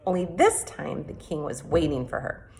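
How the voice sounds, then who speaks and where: A woman with a slightly low-pitched voice reads a storybook aloud. She narrates expressively, in the manner of a teacher sharing a fairy tale with a class. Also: wildly swooping pitch, normal speed, darkish tone, low-quality recording.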